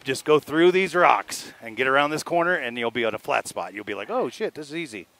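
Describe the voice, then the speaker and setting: A middle-aged man talking casually on a helmet headset outdoors, giving calm, reassuring directions. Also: medium pitch, a little fast. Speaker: motorcycle travel vlogger. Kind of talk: casual chat. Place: outdoors, forest meadow. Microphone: helmet headset mic.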